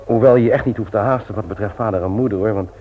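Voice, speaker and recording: An actor playing a busy, sociable brother in a radio play, talking in a brisk, frank, conversational way. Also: male voice, fairly low pitch, soft background music, natural voice. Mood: frank, concerned. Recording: tinny, noisy old radio recording.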